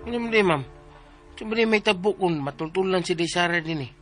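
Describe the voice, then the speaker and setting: A man's voice: high-pitched, brisk, fairly bright tone, faint music behind. A man with a Filipino accent playing a cheeky, bumbling household helper in a comic radio drama, chattering and repeating himself. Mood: deferential, chatty. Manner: chattery, repetitive, teasing.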